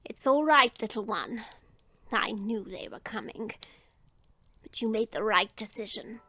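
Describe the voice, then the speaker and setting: A woman playing a kindly, ailing old village witch in a fantasy audio drama, speaking gently and formally. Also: mid-range voice, animated, unhurried, dry recording, heavily acted. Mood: calm, welcoming. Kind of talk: fantasy audio roleplay acting.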